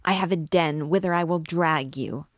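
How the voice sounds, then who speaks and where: Woman doing a creepy obsessed old priest, low slow and bossy, dry close sound. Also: no room sound, lightly acted. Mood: possessive. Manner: forceful, commanding declamation. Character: obsessed, menacing older male priest.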